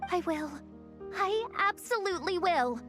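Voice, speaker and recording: A woman's voice: very high voice, animated, a little slow. A young woman voicing a theatrical, grandiose young lady who turns shy around her idol. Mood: eager. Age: young adult. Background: game music.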